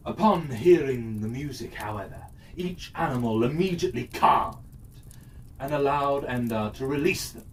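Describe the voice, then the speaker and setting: A man narrating a scripted children's fairytale as a storyteller, expressive and deliberate. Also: medium pitch. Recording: distant mic with faint background noise.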